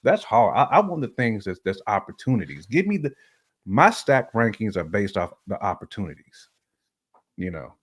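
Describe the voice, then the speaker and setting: A man's voice: on the low side, very animated, normal speed, slightly rough. An American man chatting casually on a relationship podcast, using slang and repeating phrases for emphasis.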